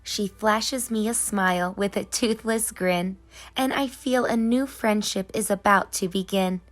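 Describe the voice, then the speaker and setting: A woman reading as a busy, whimsical, gently scolding tooth fairy in a children's storybook, in rhyme. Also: medium pitch, measured pace, soft background music, lightly acted. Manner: sing-song rhyming delivery. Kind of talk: children's storybook narration in character.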